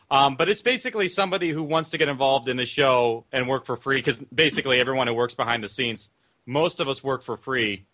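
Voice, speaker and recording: A man with a bright, clear-cut voice of medium pitch, talking off the cuff as a producer on a panel. His phrasing is loose and informal, full of small qualifiers. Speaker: audio drama producer, panel guest. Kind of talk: casual panel discussion.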